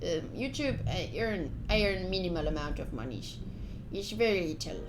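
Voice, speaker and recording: A woman's voice: on the low side, animated, normal speed, echoey room, faint music behind, poor audio. A woman live-streaming a game, chatting casually and informally and speaking straight to her viewers. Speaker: live streamer playing a visual novel.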